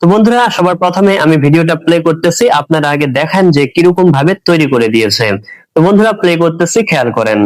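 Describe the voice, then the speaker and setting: A man's voice: fairly high pitch, expressive, fast, dry recording. A man hosting a tech tutorial, narrating step by step and addressing viewers directly as friends.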